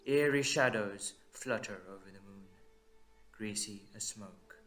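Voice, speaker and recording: A man narrating a dramatic story in short, measured, suspenseful phrases. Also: fairly low pitch, fairly bright tone, soft background music.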